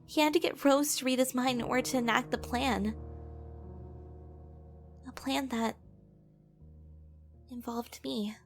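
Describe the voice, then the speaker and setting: A woman acting a gentle, vulnerable young heroine in an audio drama, telling her past in an earnest, emotive, confiding way. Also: high voice, fairly even intonation, unhurried, pauses often, faint music behind. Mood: wistful narration.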